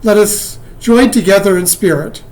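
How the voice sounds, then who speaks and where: A man, serving as worship associate, reading the welcome and announcements slowly. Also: high-pitched, a little mellow.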